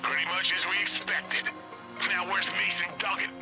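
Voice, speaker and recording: A man voicing a gruff government agent handler who briefs and directs a field operative with dry sarcasm, in video game dialogue with loud music behind. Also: sits high, steady tone, normal speed, close to an everyday voice. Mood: tactical. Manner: dry, sarcastic briefing with bursts of urgent orders. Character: gruff male federal agent handler, sardonic and commanding. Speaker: government agency handler giving mission orders.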